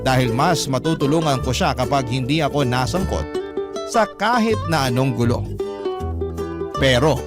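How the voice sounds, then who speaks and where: Loud plucked-string music surrounds a mid-pitched male voice of an actor. The storyteller is earnest and wounded, narrating in the first person like a dramatized letter. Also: expressive, normal speed, long pauses, lightly acted. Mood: sorrowful. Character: hurt, devoted young letter writer telling life story.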